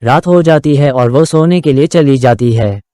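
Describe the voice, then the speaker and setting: A man's voice: medium pitch, moderate pace, dark tone, dry recording, clean studio sound. A man narrating a scripted moral story for a children's cartoon.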